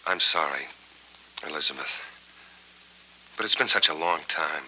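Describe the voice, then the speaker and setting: Low, forceful noir man seeking answers. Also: a little slow, exaggerated voice. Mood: apologetic. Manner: clipped, hesitant, questioning. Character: searching, polite, uneasy man pressing for answers. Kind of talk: 1940s film noir acting. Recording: noisy, humming old 1940s film soundtrack.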